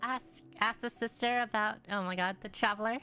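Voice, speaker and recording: A woman's voice: a little high, fairly even intonation, moderate pace, pushed and bright, faint music behind. A woman game streamer chatting casually and reacting aloud as she plays, chatty and given to exclamations. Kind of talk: casual chat and live reactions while gaming.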